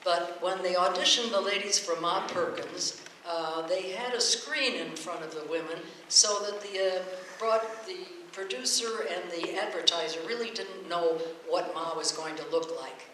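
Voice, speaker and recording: A man's voice: high-pitched, normal speed, sharp tone. A man hosting a stage show, introducing a radio play with chatty, informal, somewhat hesitant anecdotes. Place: stage before a live audience. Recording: very echoey hall, faint noise.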